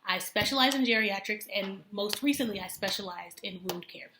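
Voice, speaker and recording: A woman's voice: mid-range voice, moderate pace, bright, forceful tone, slight background noise. A woman in her thirties talking to camera in an earnest, chatty way, with many fillers and self-corrections. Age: 30s. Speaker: homemaker vlogger. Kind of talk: casual talk to camera. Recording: echoey room.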